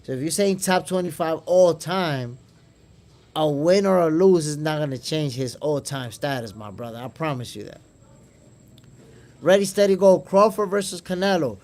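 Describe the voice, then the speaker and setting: A man's voice: a little high, animated, normal speed, a little mellow. A Dominican man with a New York accent, hosting a casual boxing livestream, reading chat aloud and calling viewers "my brother". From Dominican Republic. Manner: chatty, calls viewers "my brother", repeats words. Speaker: boxing podcast host reading live chat.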